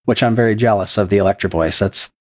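A man's voice: on the low side, fast talker, darkish tone. A man who produces a podcast and runs its game, answering interview questions in an earnest, explanatory way with a few restarts, speaking into a podcast microphone. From Texas.

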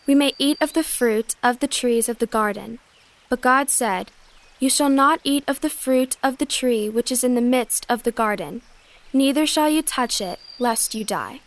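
A woman's voice: a little high, moderate pace, lightly acted. A woman playing Eve, an innocent first woman, delivering earnest lines in a Bible audio drama.

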